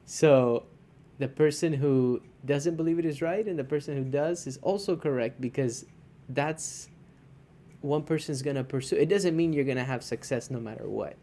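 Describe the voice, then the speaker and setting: A man's voice: mid-range voice, warm tone, a little hiss or hum. A man co-hosting a podcast in casual conversation, often checking in with his partner and restarting his sentences. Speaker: podcast co-host.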